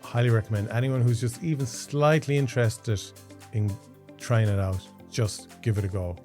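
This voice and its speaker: An Irish man hosting a podcast interview, talking in a relaxed, friendly way and often affirming his guest. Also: mid-range voice, normal speed, faint music behind. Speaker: podcast host. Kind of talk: casual interview chat.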